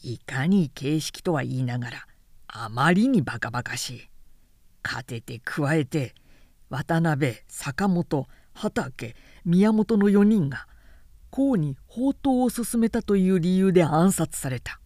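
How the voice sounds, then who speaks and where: A woman voicing an outspoken, indignant samurai man in a period-novel audiobook, forceful and insistent in formal speech. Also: sits low, expressive, slow, dry recording, studio quality, exaggerated voice. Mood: bitterly indignant.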